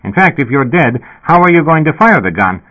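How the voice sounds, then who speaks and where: A pushy, irritable police dispatcher on an intercom, acted by a male audiobook narrator. The voice is pitched well up and loud, reasoning impatiently. It sounds thin and narrow, like radio. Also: very animated, a little fast, exaggerated voice. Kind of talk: audiobook character voice.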